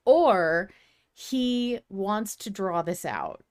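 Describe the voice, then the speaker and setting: A woman hosts a casual fan podcast, speaking at a middling pitch. She theorizes with animation and swears freely. The sound is close and dry, with no room echo. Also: animated, extremely slow, darkish tone. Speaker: podcast host.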